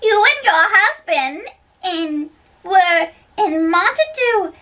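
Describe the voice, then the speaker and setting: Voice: very high-pitched, animated, slow pace, bright, forceful tone, far from the mic, heavily acted. A young-sounding reader voicing a doting, rambling old nurse woman in an exaggerated amateur play reading. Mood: excited, nostalgic.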